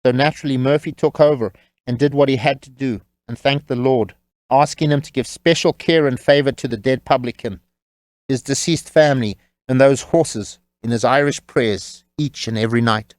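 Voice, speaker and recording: A man narrating a scripted audiobook story in a measured, wry storytelling manner. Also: medium pitch, a little mellow, dry recording.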